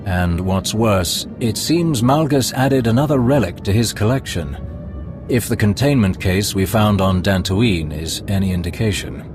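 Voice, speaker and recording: A courteous Imperial spymaster. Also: male voice, on the low side, animated. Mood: reserved. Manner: formal, courteous, deliberate. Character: formal male Imperial spymaster, polite and deferential. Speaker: advisor. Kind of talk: video game cutscene acting. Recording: music score behind.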